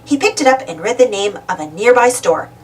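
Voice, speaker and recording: A woman reading a children's storybook aloud in an expressive, dramatic storytelling manner. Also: on the high side, brisk, distant mic, low-quality recording. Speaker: storybook reader. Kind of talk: animated children's read-aloud narration.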